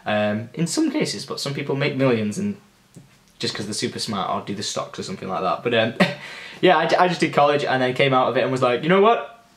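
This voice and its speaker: A nineteen-year-old man talks through a casual Q and A vlog, upbeat and rambling, full of "like" and "um". His voice sits at an ordinary middle pitch. The room around him gives a noticeable echo. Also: lively intonation, a little fast, fairly bright tone. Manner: chatty, upbeat, rambling with fillers. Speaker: YouTuber. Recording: roomy echo.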